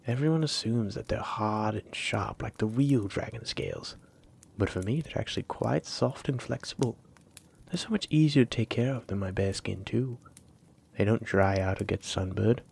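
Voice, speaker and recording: A man's voice: fairly low pitch, a little mellow. A man playing a flirty, teasing dragon-blooded librarian in a roleplay audio drama, coy and persistent, lingering on his phrases. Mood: gentle, chatty. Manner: coy, teasing, drawn-out phrases with breathy asides.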